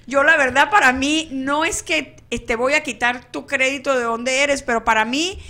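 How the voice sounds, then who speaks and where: A woman's voice: high-pitched, fairly even intonation, normal speed, sharp tone. A woman hosting a radio chat show, chatty and effusive, laughing easily as she talks. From Venezuela. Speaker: radio host.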